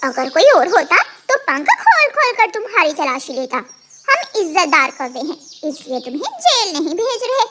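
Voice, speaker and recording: A woman's voice: very high-pitched, sing-song, moderate pace, background noise. A woman voicing an angry adult female crow in a cartoon, scolding and accusing dramatically. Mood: furious, accusing. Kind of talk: Hindi animated moral-story dubbing.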